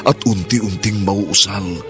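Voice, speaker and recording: A man's voice: sits low, fairly even intonation, slow, music in the background. A man narrating a radio drama in a solemn, grandiose, theatrical style.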